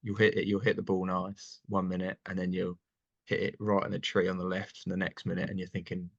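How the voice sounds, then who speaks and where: A young man from England chatting casually as an interview guest, relaxed and laughing often. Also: sits low, fairly quick, gravelly, no room sound, dull audio. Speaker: guest, online seller.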